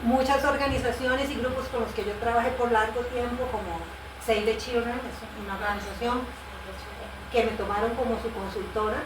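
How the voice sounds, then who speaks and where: A woman's voice: fairly high pitch, steady tone, moderate pace. A woman who works as a missionary Bible translator, patiently explaining her work in a conversational interview, with fillers and self-corrections. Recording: roomy, distant mic with background noise.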